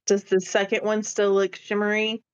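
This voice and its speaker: A woman's voice: medium pitch, little pitch movement, normal speed, clean tone. Woman chatting casually, slightly muffled sound. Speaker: player in a tabletop roleplaying game. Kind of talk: casual game-table chat.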